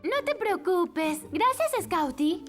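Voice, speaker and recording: A woman playing a sweet, gentle young girl in a cartoon dub. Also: very high voice, sing-song, slow pace, over music, exaggerated voice. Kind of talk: animated cartoon dub acting.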